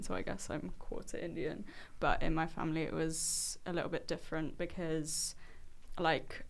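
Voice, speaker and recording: A woman's voice: sits low, vocal fry. A young woman with a London accent, introducing herself casually as a podcast crew member. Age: young adult.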